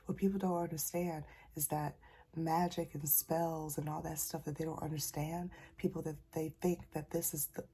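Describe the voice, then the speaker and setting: A woman sharing a casual spiritual message, talking softly because she is a little sick, in a husky, near-whispered voice and a folksy manner. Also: low voice, level tone, normal speed. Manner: folksy, calls listeners y'all and child. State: slightly sick, keeping voice soft. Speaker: spiritual channeler.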